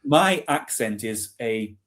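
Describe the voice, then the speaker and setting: A man's voice: mid-range voice, expressive, slow pace, fairly bright tone. British male, 40s, mid-range voice, chatty interview guest with an informal storytelling style. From England. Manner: chatty, self-deprecating. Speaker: interview guest, army veteran. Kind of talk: casual storytelling.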